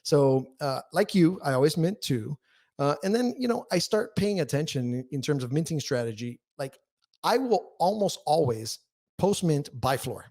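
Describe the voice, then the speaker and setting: A man's voice: a little high, big pitch swings, normal speed, dark tone. A man speaking as a podcast guest, explaining things candidly and emphatically in casual interview talk. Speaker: podcast guest, newsletter writer.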